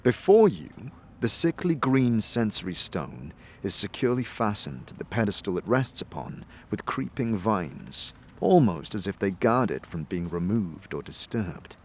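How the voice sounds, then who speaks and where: A man narrating a dramatic second-person story as a game master, in a measured, deliberate, atmospheric manner. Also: fairly low pitch, big pitch swings, slight background noise. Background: music.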